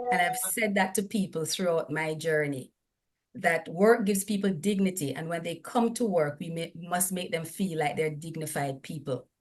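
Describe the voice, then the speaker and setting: A woman with a Jamaican accent hosting an online seminar, speaking to her audience in an upbeat, emphatic way. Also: on the low side. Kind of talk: live event hosting.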